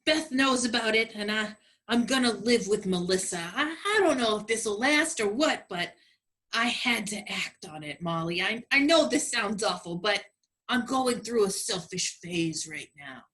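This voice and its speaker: A self-absorbed cheating boyfriend, played by a woman, apologizing in halting, sheepish stammers. It is comedy radio play acting, with a bright edge to the voice. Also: medium pitch, animated. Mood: apologetic, self-pitying.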